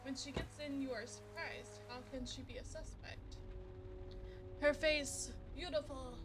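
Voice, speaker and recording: Slow, soft-spoken woman streamer. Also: high voice, steady tone. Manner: slow, soft-spoken reading with joking asides. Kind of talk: reading a narrative game aloud on a live stream. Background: loud music.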